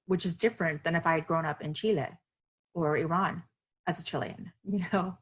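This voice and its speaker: A woman's voice: lowish voice, fairly even intonation, a little fast, husky. A woman author from Miami, speaking earnestly and reflectively as a podcast interview guest, with fillers and restarts.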